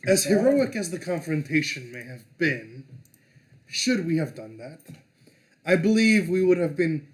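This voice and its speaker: A man's voice: on the high side, very animated, measured pace. A man playing a tabletop game, speaking in an earnest, slightly formal way. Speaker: player.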